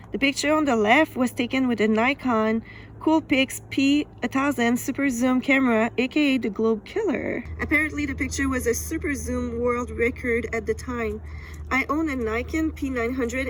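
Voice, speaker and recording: A woman's voice: fairly high pitch, slight background noise, poor audio. A woman with a French accent reading aloud from a book and commenting on it, laughing often. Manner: laughs often, measured and deliberate.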